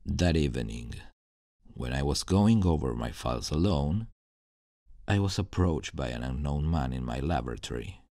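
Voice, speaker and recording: A man playing an embittered scientist turned supervillain in a dramatic ASMR roleplay monologue, telling his story in a brooding, deliberate, theatrical way. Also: extremely low pitch, a little mellow, no room sound, studio quality, full character voice. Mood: dark, haunted.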